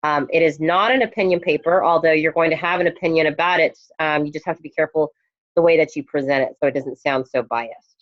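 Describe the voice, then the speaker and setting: Woman, instructor, hoarse, losing her voice, hesitant ums, headphone mic, muffled lo-fi sound. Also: sits low, fast. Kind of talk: online course lecture. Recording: dull lo-fi recording.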